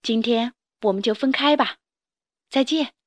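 A woman voicing a big male tyrannosaurus, gruff but tender, speaking softly and firmly. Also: on the high side, a little slow, lightly acted. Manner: soft, gruff.

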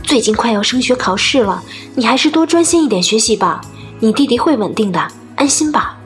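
A woman's voice: on the high side, expressive, fairly quick, soft background music, close to an everyday voice. A woman playing a caring teenage schoolgirl, a concerned classmate in an audio drama. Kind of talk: Chinese audio drama acting.

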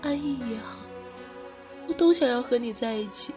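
A woman's voice: a little high, normal speed, a little mellow, faint music behind, lightly acted. A young woman playing a spirited, devoted, playfully bossy college-age girlfriend in a Mandarin radio drama. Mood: tender, earnest. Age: young adult.

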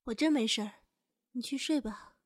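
High-voiced gentle young woman, grieving acted by a woman. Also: moderate pace, natural voice. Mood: soft, caring. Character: gentle young woman haunted by grief for lost love. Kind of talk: Chinese audio drama acting. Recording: guitar music behind.

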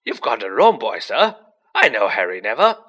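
A man plays a huge, loyal, shaggy groundskeeper in a storybook reading. He is loud and blustering, with swooping pitch and a forceful edge. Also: very animated, measured pace, slightly rough, full character voice. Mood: agitated, urgent. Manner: loud, urgent, ranting.

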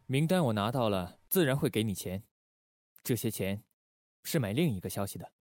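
A man playing a controlled, calculating undercover agent in a radio drama, measured and guarded. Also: on the low side, expressive, a little mellow, slight background noise, lightly acted. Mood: persuasive, cool. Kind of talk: Chinese radio drama acting.

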